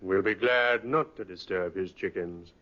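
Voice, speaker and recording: An actor playing a polite, dry police inspector in an old radio drama. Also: male voice, sits low, unhurried, pushed and bright. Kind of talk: 1950s radio drama acting. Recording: muffled vintage radio recording.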